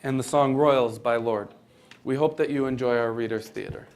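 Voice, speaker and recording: A man's voice: mid-range voice, fairly even intonation, moderate pace, darkish tone. Young American man reading formal narration. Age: young adult. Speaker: student performer and narrator. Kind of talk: scripted readers theater narration, read aloud.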